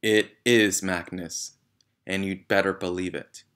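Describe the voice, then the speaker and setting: A man voicing a menacing male ghost in a storybook, slow, deliberate and commanding. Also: deep voice, fairly even intonation, fairly bright tone, clear, resonant tone. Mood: slow menace.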